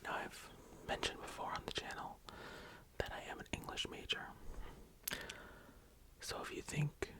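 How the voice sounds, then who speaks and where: A young adult ASMR host speaking and reading poetry in a breathy, gentle whisper, lingering on the words. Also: normal speed.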